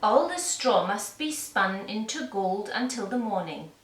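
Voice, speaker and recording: A woman's voice: medium pitch, lively intonation, measured pace, natural voice. A woman voicing a stern, commanding king in a children's puppet-show tale, imperious and formal. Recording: roomy, distant mic, faint noise.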